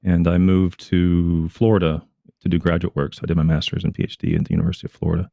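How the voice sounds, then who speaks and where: A man from Oklahoma speaking as an expert guest, casually and haltingly telling his background in an interview. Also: low voice, little pitch movement, gravelly, dry recording, highs cut off. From Oklahoma, United States. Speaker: expert guest, retired turfgrass academic.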